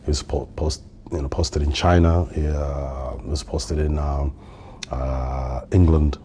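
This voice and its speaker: Man, from Somalia, native Somali speaker, very low voice, hesitant with fillers, podcast guest. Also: steady tone, slow, dark tone, slight background noise. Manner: hesitant, many fillers, laughs easily. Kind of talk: casual interview conversation.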